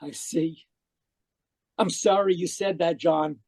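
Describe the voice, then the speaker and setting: A man acts out a fanatical younger brother in a radio mystery play. His voice sits high for a man, with fervent, pleading intensity and an obsessive, desperate drive. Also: steady tone, slow, a little mellow. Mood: grim, fanatical. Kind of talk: old-time radio mystery acting, dramatic narration. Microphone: home microphone on a video call.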